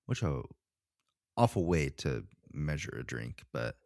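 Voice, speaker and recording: A man's voice: extremely low pitch, big pitch swings, measured pace, darkish tone, no echo, studio quality. A man co-hosting a podcast in casual, slangy, informal chat. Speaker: podcast co-host.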